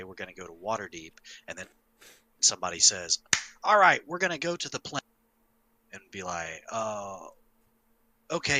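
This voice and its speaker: Man, lower-pitched, very sharp forceful tone, emphatic game master in casual discussion, high. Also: poor audio. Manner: emphatic, sweary. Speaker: podcast panelist and game master. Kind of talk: casual tabletop gaming discussion.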